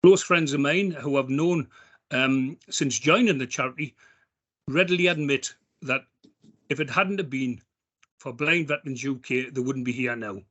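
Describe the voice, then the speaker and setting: Earnest Geordie man, bright voice. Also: medium pitch, lo-fi sound. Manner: halting, with many ums and restarts. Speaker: member of a veterans' charity speaking at an online event. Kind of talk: heartfelt spoken appeal.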